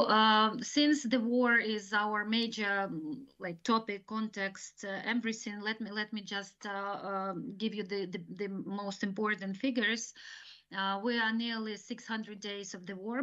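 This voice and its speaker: A middle-aged woman with a Ukrainian accent gives an informal slide presentation, explaining haltingly and repeating words. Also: mid-range voice, pushed and bright. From eastern Ukraine. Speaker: guest speaker, communications specialist. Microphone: video call. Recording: dull, compressed video-call audio.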